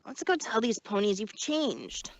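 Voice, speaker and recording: A woman's voice: a little high, expressive, a little slow, no echo, lo-fi sound. A woman voicing a brash, eager tomboy mare with a blunt, pushy manner in a fan fiction audio drama.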